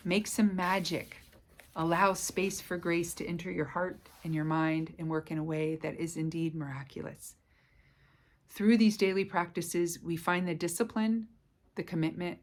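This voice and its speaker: A woman's voice: on the low side, a little mellow. Soothing woman coaching on daily practice. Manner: slow, soothing, affirming delivery. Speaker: wellness coach. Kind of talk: guided self-help talk to camera. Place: home living room by a stove.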